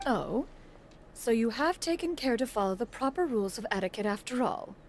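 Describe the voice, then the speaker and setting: Proud elegant immortal bird woman, lofty formal game voice, highish, lots of melody in it. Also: lively intonation, a little fast, a little hiss or hum. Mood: gracious, formal. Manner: formal, lofty, archaic phrasing. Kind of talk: video game voice acting.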